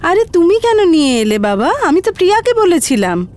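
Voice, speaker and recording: A woman's voice: extremely high pitch, very animated, normal speed, a little mellow. A woman acting in a TV serial as a fussing, respectful mother-in-law.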